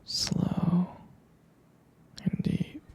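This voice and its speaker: A man speaking in a breathy, husky whisper, tender, soothing and halting, as a boyfriend in a bedtime ASMR roleplay, close to the microphone. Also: darkish tone. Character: tender, protective boyfriend lulling partner to sleep.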